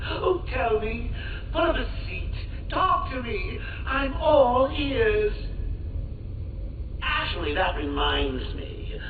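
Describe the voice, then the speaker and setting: A cackling, mocking criminal clown. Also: male voice, fairly high pitch, far from the mic, background noise, full character voice. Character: manic taunting male criminal clown, gleeful and cruel. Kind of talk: video game voice acting. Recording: tinny echoey audio over loud music.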